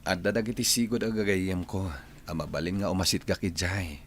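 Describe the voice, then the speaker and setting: A man's voice: deep voice, a little fast, a little hiss or hum, natural voice. A man playing a respectful, dutiful grown son in a radio drama, with an Ilocano accent. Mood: reassuring.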